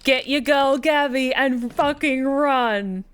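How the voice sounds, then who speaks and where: A woman game streamer, reacting live and reading a visual novel aloud, expressive and emotionally invested. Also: high-pitched, slow.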